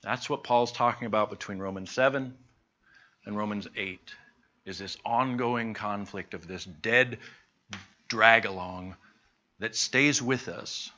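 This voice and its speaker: Guy preaching in a bible class, bright voice, talks like a chat, kinda muffled lo-fi sound. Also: on the low side. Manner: earnest, conversational, slows down to stress points. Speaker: pastor teaching a Bible class. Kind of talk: sermon-style Bible teaching. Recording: dull lo-fi recording.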